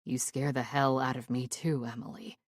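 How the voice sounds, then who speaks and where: Woman putting on a lovesick guy, gravelly and low, begging sorry, super dry sound. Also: normal speed, a little mellow, no room sound. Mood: pleading tender. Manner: low, pleading, apologetic. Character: remorseful young man deeply in love. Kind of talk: audiobook character voice.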